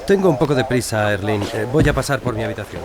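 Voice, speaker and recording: An actor playing an evasive, hurried male lodger in a radio drama, speaking curtly amid background noise. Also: mid-range voice, lively intonation, lightly acted. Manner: curt, evasive.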